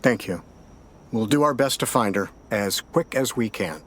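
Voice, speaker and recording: Measured American male detective. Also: medium pitch, lively intonation, a bit bright. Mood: polite, businesslike. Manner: measured, matter-of-fact questioning. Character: steady, probing male county sheriff's detective in 1978. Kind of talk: audio drama dialogue.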